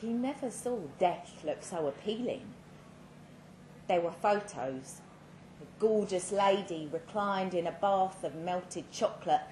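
A woman giving a measured, dramatic reading of a first-person short story at a live event, with pointed pauses. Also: medium pitch, darkish tone. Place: indoor live literary event. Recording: roomy live venue, clear background noise.

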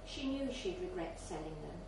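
A woman's voice: lowish voice, expressive, moderate pace, gravelly. A woman reading a literary short story aloud as scripted narration at a live event in a bar. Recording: very echoey, distant mic, faint noise.

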